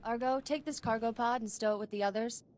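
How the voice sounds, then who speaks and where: A woman's voice: a little high, steady tone, fast talker, a little mellow, smooth, lightly acted. An actress playing a wry, businesslike female smuggling boss in a video game, assured in manner. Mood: wry approval.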